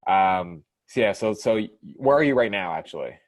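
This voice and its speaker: A man's voice: fairly low pitch, expressive, normal speed, no room sound. A man hosting an interview, upbeat and casual, stumbling and repeating words. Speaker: interview host.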